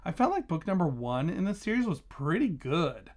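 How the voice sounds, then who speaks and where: A man's voice: on the high side, very animated, normal speed, darkish tone. A man hosting a casual book review vlog, talking conversationally and emphatically, stressing and repeating key words.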